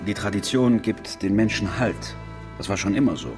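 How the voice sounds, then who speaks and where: A calm, caring imam played by an actor speaks in a low voice. He is soothing and gently probing, in intimate radio drama dialogue over music. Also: moderate pace, lightly acted. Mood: calm, concerned. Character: calm, caring male imam counselling a worshipper. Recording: music behind.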